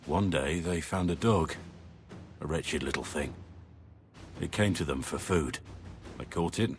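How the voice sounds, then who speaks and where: A man playing a brooding, guilt-ridden middle-aged warrior in a video game, speaking gravely and earnestly. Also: rumbling low, unhurried, soft background music. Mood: bitter, remorseful. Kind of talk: dramatic video game acting.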